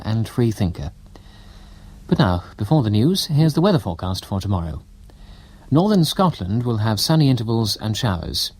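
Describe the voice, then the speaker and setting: A British man works as a radio continuity announcer, reading from a script with formal, measured delivery. His voice sits fairly low but moves with animated intonation. The sound is very dry, with no room around it. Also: no echo. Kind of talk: scripted announcements and weather forecast.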